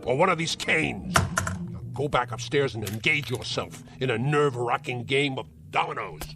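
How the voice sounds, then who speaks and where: An actor playing a spiteful, cruel old uncle who hurls theatrical insults, in a radio-style drama. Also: male voice, mid-range voice, expressive, moderate pace, close to an everyday voice. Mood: scornful. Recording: music score behind.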